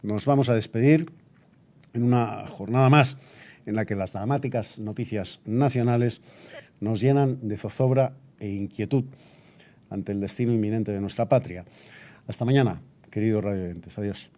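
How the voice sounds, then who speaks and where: A man's voice: fairly low pitch, normal speed, dark tone, close to an everyday voice. A man playing a solemn, indignant Spanish radio newsreader of 1936, reading a formal, declamatory bulletin. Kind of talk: scripted period radio news bulletin.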